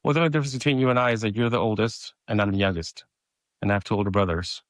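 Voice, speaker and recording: Low-voiced hesitant man telling his story. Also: very fast, no echo, studio quality. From Mexico. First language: Spanish. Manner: hesitant, repeats words and restarts often. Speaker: podcast guest. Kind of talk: personal storytelling in conversation.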